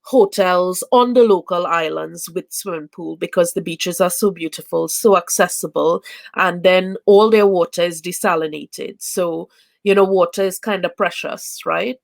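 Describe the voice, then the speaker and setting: A woman's voice: lowish voice, moderate pace, gravelly. Chatty woman from Trinidad on a video call. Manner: chatty, many fillers and restarts. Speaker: interview guest, traveling parent. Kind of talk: casual video chat.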